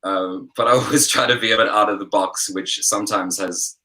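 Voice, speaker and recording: A young man, a singer-songwriter guest, chatting in an interview over a video call in a relaxed, rambling way full of fillers. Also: lowish voice, fairly quick, poor audio. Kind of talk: casual interview chat.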